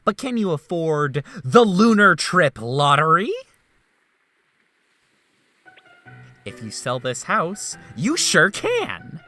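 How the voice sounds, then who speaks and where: Man playing a goofy theatrical doctor, swooping pitch. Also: wildly swooping pitch, slow pace, pushed and bright, faint music behind, full character voice. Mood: hammy pitchman. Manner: theatrical, exclamatory. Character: goofy, theatrical male memory-altering doctor, eager but weary. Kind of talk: video game fan dub acting.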